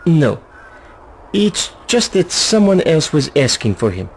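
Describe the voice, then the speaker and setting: A man's voice: fairly high pitch, sing-song, slow pace, a little hiss or hum, exaggerated voice. A man playing a nervous, shifty informant in a video game, anxious, hesitant and eager to placate. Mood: nervous, defensive. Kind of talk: video game cutscene voice acting.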